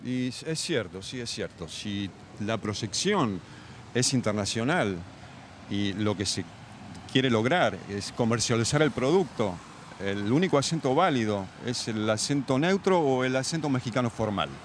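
Measured male diction professor. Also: mid-range voice, expressive, a little hiss or hum. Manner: measured, precise explanations. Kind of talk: formal interview answers.

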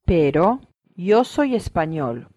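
Slow, lively woman modeling lesson phrases. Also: fairly low pitch, dry recording, clean studio sound. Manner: clear, deliberate enunciation. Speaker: native model speaker in a language course.

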